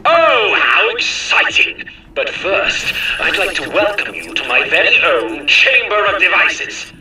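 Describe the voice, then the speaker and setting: A smug, mock-polite villain king in a cartoonish game. The voice is high and swooping, theatrical in its taunts, ringing with heavy distant echo. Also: very animated, a little slow, bright, forceful tone, heavily acted. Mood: gleeful, taunting. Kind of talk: cartoonish game cutscene acting. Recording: echoing, distant announcement over music.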